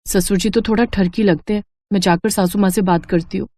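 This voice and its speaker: A woman's voice: medium pitch, fairly even intonation, fairly quick, dark tone, dry recording, natural voice. A woman voicing a flustered young bride. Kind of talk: cartoon dubbing.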